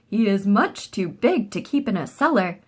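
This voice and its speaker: A woman's voice: mid-range voice, very animated, normal speed, slightly rough. A woman voicing a helpful male policeman in a storybook, speaking emphatically and with authority. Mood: astonished, decisive.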